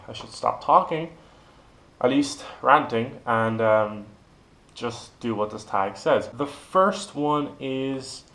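A young man from Cork with a blended Irish, British and American accent, chatting casually to camera from a home kitchen. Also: mid-range voice. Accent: Cork Irish, mixed with British and American. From Ireland. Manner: relaxed and rambling, reads words out one by one. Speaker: vlogger. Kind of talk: casual chat answering a question list. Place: kitchen at home, indoors, small.

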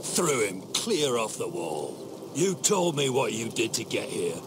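An actor playing a stern, scornful middle-aged watch officer in a dramatic video game, speaking with deliberate menace and a gravelly voice. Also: male voice, medium pitch, sing-song, background noise. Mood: cold accusation.

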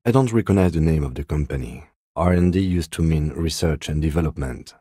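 A man acting a reserved, dutiful police detective in a video game, speaking in a measured, formal, deadpan way with dry courtesy. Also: a bass voice, breathy edge, no room sound. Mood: dry, composed. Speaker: voice actor.

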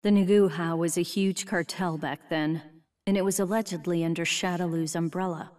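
Woman doing a chill no-nonsense agent, lowish voice, kinda far off mic. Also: normal speed, close to an everyday voice. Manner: calm, matter-of-fact narration. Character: cool female agent, focused and businesslike. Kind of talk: video game voice acting.

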